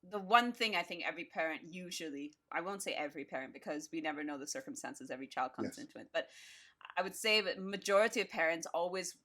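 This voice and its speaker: A woman's voice: on the low side, lively intonation, fast, a bit bright. A woman hosting an interview, speaking in an earnest, reflective way and trailing off into fillers as she thinks aloud. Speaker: podcast host. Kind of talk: casual interview chat.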